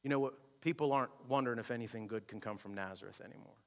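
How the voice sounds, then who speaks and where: A male pastor delivering an emphatic sermon, moving between loud shouted lines and low, quiet asides. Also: very fast. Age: adult with a wife and kids. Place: church sanctuary. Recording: long reverb of a large hall.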